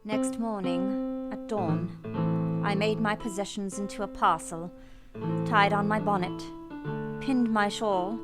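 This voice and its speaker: A woman playing a sorrowful but resolute young governess, telling her story in an earnest, measured, formal way for a radio play. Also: mid-range voice, steady tone, natural voice. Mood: somber. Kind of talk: first-person dramatic narration in a radio play. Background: piano music.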